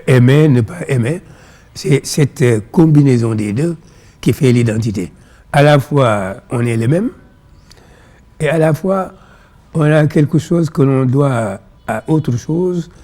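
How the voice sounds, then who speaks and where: A man with a Senegalese accent and Pulaar as his first language, explaining ideas in measured, didactic phrases as an interview guest. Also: mid-range voice, mellow. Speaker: interview guest, philosophy author.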